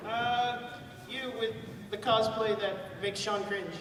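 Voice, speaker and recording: A man's voice: sits high, steady tone, takes it slowly, very noisy. A man hosting a panel, bantering casually with a live audience. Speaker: panel host. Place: large echoey convention panel room. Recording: echoey hall, far mic, loud crowd.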